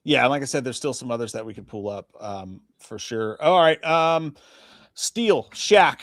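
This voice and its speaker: A man co-hosting a live movie chat, animated and profane. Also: mid-range voice, dry recording, clean studio sound. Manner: animated, profane, quick to laugh. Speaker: podcast co-host.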